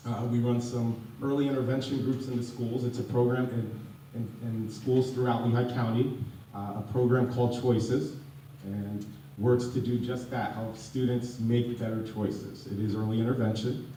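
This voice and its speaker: A hoarse man gives a talk as a counselor, his voice very dark and mellow. He often stumbles and repeats his words, and pushes his points harder as he gets going. He is picked up from across a big echoey room. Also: mid-range voice, level tone, moderate pace, slight background noise. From United States. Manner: repeats and restarts words, grows emphatic as he goes. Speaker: addiction counselor speaking at a community panel. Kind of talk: public talk to an audience. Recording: echoey room audio from far off.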